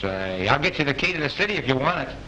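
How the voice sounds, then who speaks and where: A man's voice: medium pitch, sing-song, fast, bright, forceful tone, poor audio. An actor playing a folksy, eager male sidekick in a 1930s film. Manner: colloquial, eager. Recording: old film soundtrack, heard from afar with hiss.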